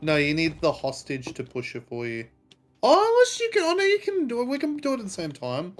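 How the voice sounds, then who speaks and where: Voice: mid-range voice, wildly swooping pitch, a little fast, soft background music. A streamer from Australia chatting casually while playing, humming and making playful sound effects. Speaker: streamer and player. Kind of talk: casual gameplay chat.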